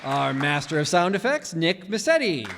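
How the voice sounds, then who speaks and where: A man's voice: on the high side, sing-song, moderate pace, gravelly. A man as a theatrical old-time radio announcer, reading out cast credits. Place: town hall stage before an audience. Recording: echoey hall, noisy crowd behind. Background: crowd noise.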